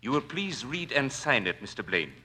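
An actor playing a formal, persuasive and domineering doctor of doubtful honesty in an old radio drama. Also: male voice, mid-range voice, sing-song, bright, forceful tone, slightly rough, full character voice. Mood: commanding. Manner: formal, measured, persuasive, commanding. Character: smooth, domineering doctor, suspected charlatan. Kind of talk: 1940s radio acting. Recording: vintage radio drama recording.

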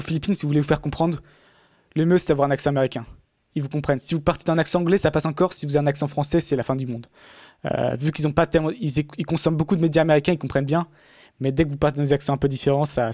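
A man's voice: a little high, darkish tone, no echo, clean studio sound. A man talking casually in a podcast conversation, rambling with many fillers and restarts.

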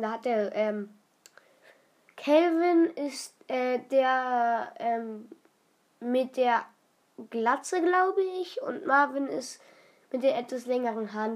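A woman's voice: on the high side, slow. A woman commenting casually on a video she is watching, asking questions and hesitating with fillers. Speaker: reaction commentator.

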